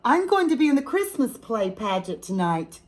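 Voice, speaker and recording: A woman voicing an eager, earnest little girl in a children's storybook, excited and emphatic. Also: a little high, normal speed.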